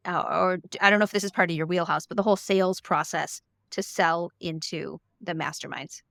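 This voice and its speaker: A woman's voice: fairly low pitch, steady tone, creaky, fairly bright tone, dry recording, studio quality. A woman hosting a podcast interview, affirming the guest and asking questions. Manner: affirming, asks questions with quick backchannels. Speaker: podcast host.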